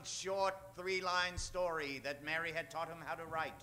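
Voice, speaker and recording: A man's voice: fairly high pitch, fairly even intonation, moderate pace, pushed and bright. A man narrating an old-time radio comedy in a mock-dramatic way.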